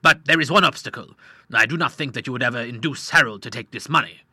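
A man narrating an audiobook, voicing a kindly middle-aged doctor who speaks in a measured, reassuring way. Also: mid-range voice, sharp tone, slightly rough, no echo, clean studio sound. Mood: calm.

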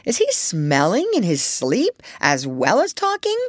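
A woman voicing a kindly, excitable man, a doctor, who exclaims and gives brisk orders. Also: on the low side, dry recording, exaggerated voice. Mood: puzzled.

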